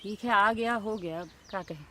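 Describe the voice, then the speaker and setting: A woman's voice: medium pitch, racing, pushed and bright, a little hiss or hum, natural voice. A woman acting as a weary, scolding village mother in an audio drama, curt and complaining. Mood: reluctant, flat. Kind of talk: naturalistic radio drama acting.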